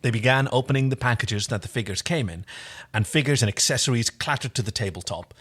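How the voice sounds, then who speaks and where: A man's voice: medium pitch, expressive, quick pace, fairly bright tone. A man co-hosting a playful fan fiction reading, narrating aloud and prone to giggling. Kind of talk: animated story reading with character voices.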